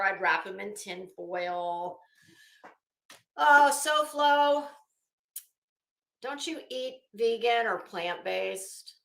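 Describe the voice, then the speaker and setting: A woman hosts a casual livestream in a bright, forward voice. She takes her time as she talks, chatty and blunt with her viewers. The room around her adds a clear echo. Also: medium pitch. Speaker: livestream host. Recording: echoey room.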